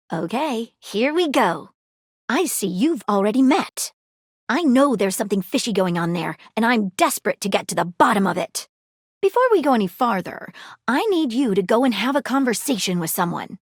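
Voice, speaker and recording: A theatrical magnifying-glass detective, earnest and a little flirty, with a voice that sits fairly high. Her delivery swoops up and down as she puzzles over mysteries. The sound is close and dry, with no room around it. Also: no room sound. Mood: intrigued. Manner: dramatic sleuth delivery, earnest and playful. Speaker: dating-game character. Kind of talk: video game voice acting.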